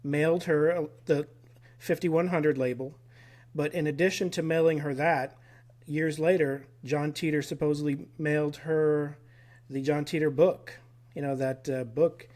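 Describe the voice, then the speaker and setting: A man being interviewed as a guest researcher on a podcast. He pieces his memories together haltingly, with plenty of fillers and restarts. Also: on the high side, steady tone, a little mellow. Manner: recalls details haltingly with many fillers. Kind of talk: casual podcast conversation.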